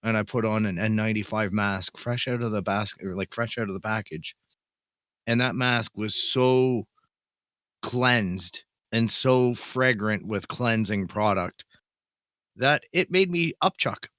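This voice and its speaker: Male co-host, slightly rough voice, rambling and easygoing, dry sound. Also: fairly low pitch, a little slow, fairly bright tone, dry recording. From Canada. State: voice a little rough. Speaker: podcast co-host. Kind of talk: casual podcast chat.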